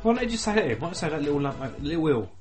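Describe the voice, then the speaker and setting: A man's voice: fairly high pitch, very animated, very fast, a little mellow, soft background music. A man from England co-hosting a podcast, mumbling in slangy, sweary casual banter. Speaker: podcast co-host.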